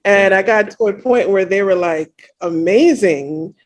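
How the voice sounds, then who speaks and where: Woman, thirties, from Jamaica, interview guest, reflective, casual chat, filler-heavy, mid pitch. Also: unhurried. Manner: reflective, many "you know" fillers.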